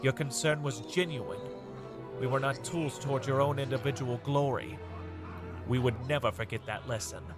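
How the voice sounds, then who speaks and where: A man's voice: medium pitch, normal speed, music in the background, close to an everyday voice. A man playing an earnest, grateful and humbled army officer in a dramatic comic dub, sincere and reflective. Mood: earnest gratitude.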